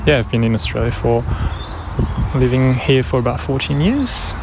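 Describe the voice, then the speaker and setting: A man's voice: medium pitch, expressive, normal speed, creaky. Hesitant man interviewed outdoors in a noisy park. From France. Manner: hesitant, many fillers and restarts. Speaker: interviewee, event organiser. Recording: noisy outdoor field recording. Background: traffic and city ambience.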